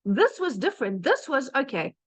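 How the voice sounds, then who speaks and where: South African woman, 30s, guest testimonial over a video call, candid, conversational, fairly high voice. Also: big pitch swings, normal speed. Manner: candid and conversational, with frequent ums. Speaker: guest speaker sharing her story. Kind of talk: personal testimonial on a live webinar.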